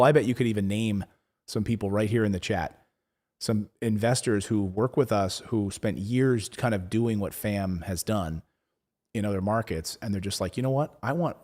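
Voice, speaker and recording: Guy with a really low voice rambling on a live stream, lots of likes, kinda losing his voice. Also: a little mellow. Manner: rambling, many "like" fillers and restarts. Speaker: live show host. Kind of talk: casual live-stream chat, reading viewer comments.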